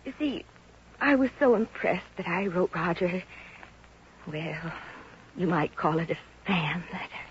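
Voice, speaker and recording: A woman's voice: on the low side, lively intonation, unhurried, pauses often. An actress playing a plain, bookish, lovelorn small-town young woman, fretful and earnest, in 1950s radio comedy style. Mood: earnest, confiding. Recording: dull, hissy 1950s radio recording.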